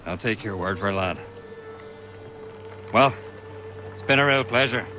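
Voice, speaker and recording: An actor playing an irreverent colonial rogue in a video game, talking in an offhand, wry manner. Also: male voice, fairly low pitch, a little slow, sharp tone, soft background music. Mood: wry, friendly.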